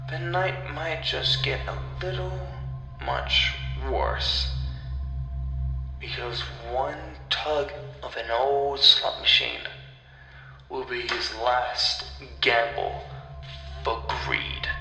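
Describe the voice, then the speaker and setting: A man narrating a scripted horror story in a dramatic, deliberate way, with pauses between phrases. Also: medium pitch, very animated, bright, forceful tone, faint music behind. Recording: echoey, long reverb, clear background noise.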